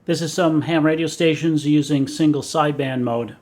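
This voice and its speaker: A man narrating a scripted technical explanation in a measured, matter-of-fact way, as a hobbyist presenter. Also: on the high side, steady tone, darkish tone, a little hiss or hum. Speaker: vintage radio hobbyist and presenter.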